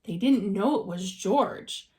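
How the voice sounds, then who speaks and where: A woman reading a children's story aloud like a teacher, expressive and dramatizing for young listeners. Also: medium pitch, slow.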